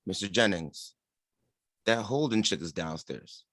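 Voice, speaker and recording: A man's voice: on the low side, animated, a little slow, a bit bright, no room sound, clean studio sound. A man playing a tough, blunt henchman with a terse manner in a screenplay table read. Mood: suspicious.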